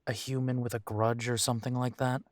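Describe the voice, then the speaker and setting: A man's voice: mid-range voice, level tone, fairly quick, dry recording, clean studio sound, natural voice. A man playing a protective new werewolf pack leader in an audio roleplay, speaking earnestly and with some hesitation. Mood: concerned.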